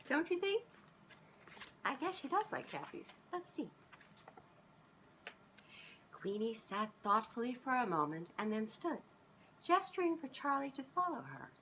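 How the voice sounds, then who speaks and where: A middle-aged woman reading a children's storybook aloud in an expressive, sing-song way, as if speaking directly to young listeners. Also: mid-range voice, a little hiss or hum, low-quality recording. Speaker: children's author reading her own book aloud. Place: small living room, on a couch indoors.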